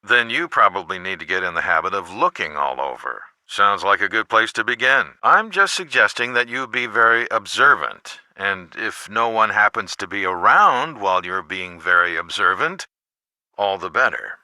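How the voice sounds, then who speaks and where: A man's voice: fairly low pitch, expressive, moderate pace, bright, forceful tone, no room sound, heavily acted. A man voicing a caring middle-aged American father in a video game, articulate and advising. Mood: thoughtful, advising. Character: teenage detective's caring, measured lawyer father.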